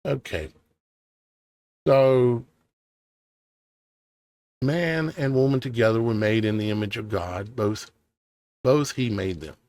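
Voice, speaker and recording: A man with a gravelly, fraying voice works through old scripture in a slow, measured cadence. Between readings he wonders aloud, circling back and asking questions. The sound is dry and close, with no room around him. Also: mid-range voice, a little mellow, no room sound. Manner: thinking aloud, questioning, hesitant restarts. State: tired, voice starting to go. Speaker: online preacher. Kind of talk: scripture reading with musing commentary.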